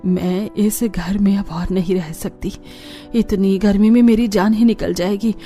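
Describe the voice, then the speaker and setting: A woman's voice: medium pitch, steady tone, measured pace, dark tone, natural voice. A woman voicing a grumpy, self-centred cartoon mother-in-law in a huffy, dramatic manner. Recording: music behind the voice.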